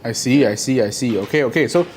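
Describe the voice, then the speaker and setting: A man's voice: medium pitch, fast, a little mellow, slight background noise. A man with a Singaporean English accent, judging and co-hosting a live cooking showcase in a playful, teasing way. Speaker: chef judge and co-host.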